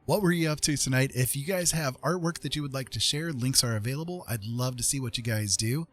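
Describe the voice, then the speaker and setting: A man's voice: mid-range voice, a little fast, fairly bright tone. A man hosting a casual art livestream, talking in a friendly, welcoming, rambling way. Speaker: livestream host, digital artist. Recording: music playing behind the voice. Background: music.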